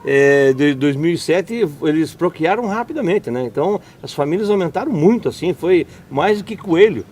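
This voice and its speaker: A man's voice: on the high side, lively intonation, moderate pace, darkish tone. A man hosting an interview, summing up answers and asking leading questions. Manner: leading questions, summarizing remarks. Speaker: interviewer, host. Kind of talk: conversational interview.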